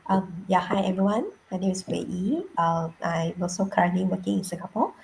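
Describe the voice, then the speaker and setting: A woman's voice: lowish voice, brisk, creaky, darkish tone, slight background noise. A woman speaking casually as a guest on an online call. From Malaysia. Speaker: guest speaker, side-hustle business owner. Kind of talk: casual self-introduction.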